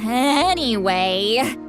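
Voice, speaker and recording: A woman voicing a feisty, proud, youthful-sounding grandmother shaman in a video game, with a huffy, dramatic manner. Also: on the high side.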